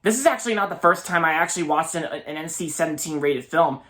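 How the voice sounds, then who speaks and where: A man's voice: fairly high pitch, expressive, fast, pushed and bright. A man in his twenties giving a casual, enthusiastic movie review, often repeating words and restarting his sentences. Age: 20s. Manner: enthusiastic, gushing.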